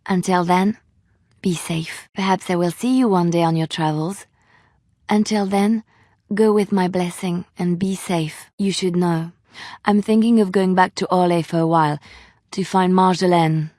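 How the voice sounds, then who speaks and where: An actress voicing a gentle, devout young woman adventurer in a video game, soft-spoken and earnest, by turns wistful and playful. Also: fairly low pitch, no room sound, studio quality, lightly acted. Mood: wistful farewell. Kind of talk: video game voice acting, intimate dialogue.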